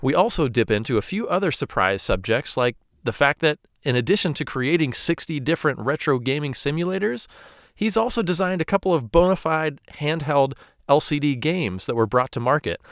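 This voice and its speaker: A man's voice: a little high, animated, a little fast, no echo, clean studio sound. A man hosting a podcast, reading a polished introduction and then asking interview questions in an upbeat, articulate way. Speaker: podcast host.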